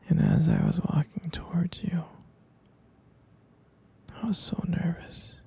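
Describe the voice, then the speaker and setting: Husky, gravelly whispering vampire boyfriend acted by a man. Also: on the high side, little pitch movement, slow pace, long pauses, exaggerated voice. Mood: tender. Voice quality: breathy, husky, soft whispering. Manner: hesitant, murmuring, tender reassurance with pet names. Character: gentle, sleepy vampire boyfriend, half human, soothing his sweetheart. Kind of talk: ASMR boyfriend roleplay.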